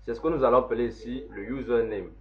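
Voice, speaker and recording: A man, slowly walking through a software setup step by step as an instructor. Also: mid-range voice, a little hiss or hum. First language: French. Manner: slow, step-by-step explaining. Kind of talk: screen-recorded software tutorial. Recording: dull lo-fi voice recording.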